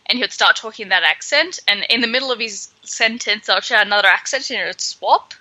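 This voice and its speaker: A woman co-hosting a podcast, chatting casually through a book with animated, sardonic asides. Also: mid-range voice, fairly quick, no echo, rough recording. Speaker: podcast co-host. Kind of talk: casual book read-along chat.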